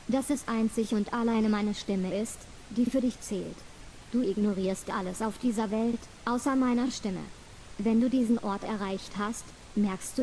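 A woman leads a listener into trance with a slow, soothing, repetitive hypnotic cadence. Her voice sits a little high but sounds mellow and warm. A faint hiss runs under the recording. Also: poor audio. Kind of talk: scripted hypnosis trance induction.

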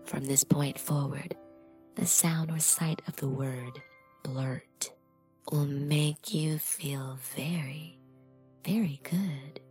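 A woman with a low, mellow voice, breathy and often dropping to a whisper. She speaks with slow, deliberate authority, as a dominant hypnotist reading a script. Faint music sits underneath. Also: steady tone. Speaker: erotic hypnosis performer. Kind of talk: erotic hypnosis audio, scripted.

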